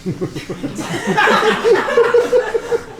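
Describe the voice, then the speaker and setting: A young Austrian man performs stand-up in his second language, his accent plain, each line dry and self-mocking. He talks slowly, pausing on fillers, while his pitch rises and falls widely. The sound is roomy and picked up from some distance on a club stage. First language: German. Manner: deadpan self-mocking, halting with many fillers. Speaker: stand-up comedian. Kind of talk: stand-up set.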